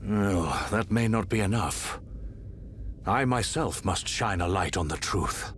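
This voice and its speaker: An actor playing an aging emperor in a video game, grave and regal, speaking in a stately, formal manner. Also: male voice, on the low side, animated, slow pace, a bit bright, slight background noise. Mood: grave, suspicious. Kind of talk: dramatic video game cutscene acting.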